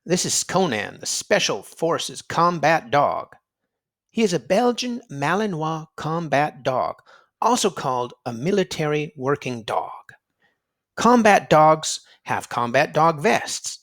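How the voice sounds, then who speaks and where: A man's voice: a little high, sing-song, slow pace, fairly bright tone. A man reading a children's nonfiction storybook aloud, explaining emphatically to young listeners. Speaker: children's read-aloud narrator.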